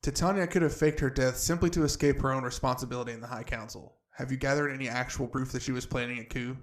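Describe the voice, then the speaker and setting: A man's voice: mid-range voice, steady tone, quick pace, close to an everyday voice. A man playing an earnest, guarded agent reporting to his superiors, restrained and dutiful, in a fantasy audio drama.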